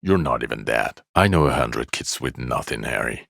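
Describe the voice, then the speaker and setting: An actor playing a jaded, sardonic middle-aged police detective in a video game, blunt and weary, with a gravelly voice. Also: male voice, rumbling low, lively intonation, normal speed, a bit bright, dry recording, studio quality, exaggerated voice. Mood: exasperated.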